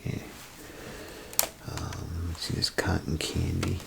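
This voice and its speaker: A man playing a chatty, folksy bathroom attendant in a soft ASMR role play, speaking in a gravelly, breathy half-whisper with rambling, hesitant phrasing. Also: low-pitched, flat delivery, slow, heavily acted. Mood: chatty, explaining. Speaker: ASMR performer. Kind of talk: ASMR role play, softly spoken to the listener. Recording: close ASMR recording, clear background noise.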